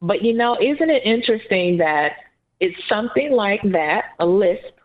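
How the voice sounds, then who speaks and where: A woman with a Southern US accent, co-hosting a podcast in an earnest, encouraging, unhurried manner. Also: mid-range voice, lively intonation. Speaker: podcast co-host. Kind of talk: casual podcast chat. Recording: phone-like narrow band.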